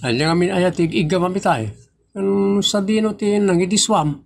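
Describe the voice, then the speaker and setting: A man plays a fussy husband in a homemade comedy skit, protective of his leather shoes. His voice is gravelly and sits fairly high for a man, and he complains and teases in quick bursts. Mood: teasing.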